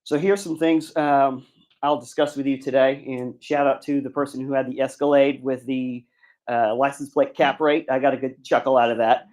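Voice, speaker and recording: A man of middle pitch lectures on lending at a seminar. He explains terms step by step in a plain tone, with frequent ums and uhs. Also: a little mellow. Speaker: bank commercial lender, guest speaker. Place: seminar room.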